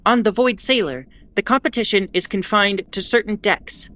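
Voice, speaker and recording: A woman's voice: medium pitch, normal speed, a bit bright, lightly acted. A woman playing a wry, defiant bulletin host aboard a spaceship, narrating a scripted audio drama in a conversational, explanatory way. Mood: solemn, storytelling. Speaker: host of an in-world radio bulletin.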